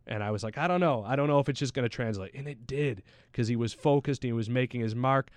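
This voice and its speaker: A man's voice: mid-range voice, fast, no echo, studio quality. A man chatting casually about sports as a pundit, in a slangy, anecdotal way. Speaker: soccer pundit on a talk show.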